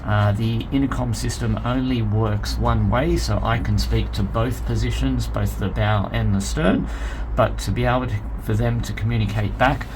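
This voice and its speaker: A man's voice: lowish voice, steady tone, normal speed, background noise. A man giving a plain, methodical step-by-step equipment demonstration as an instructor, recorded inside a vessel. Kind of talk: hands-on demonstration, explanatory.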